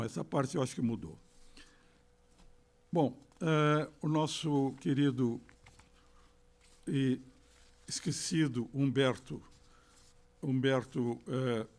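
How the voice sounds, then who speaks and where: An older man giving a formal lecture, reading passages aloud and stopping for halting asides with fillers and self-corrections, speaking at a podium microphone in a lecture hall. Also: mid-range voice, gravelly, darkish tone. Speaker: lecturer at a literary academy. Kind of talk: formal lecture with read quotations.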